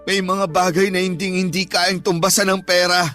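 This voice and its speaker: A man's voice: sits high, little pitch movement, moderate pace, sharp tone, soft background music. A man acting a wounded, devoted young boyfriend in a Filipino radio drama, with a pained, earnest delivery. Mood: hurt, tearful. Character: wounded, devoted young working-class boyfriend, pleading and proud.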